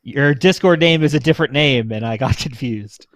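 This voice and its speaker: A man's voice: medium pitch, normal speed, no echo. A young man in casual chat, jokey and informal, handing out parts to a group. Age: young adult. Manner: jokey, prone to laughing. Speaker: cast member assigning parts.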